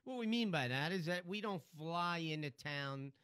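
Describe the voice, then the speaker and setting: A man's voice: fairly high pitch, lively intonation, moderate pace, fairly bright tone, clear, resonant tone. A man with a Philadelphia accent, co-hosting a casual radio show about real estate and telling wry stories. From Philadelphia area, US. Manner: storytelling, wry, joking.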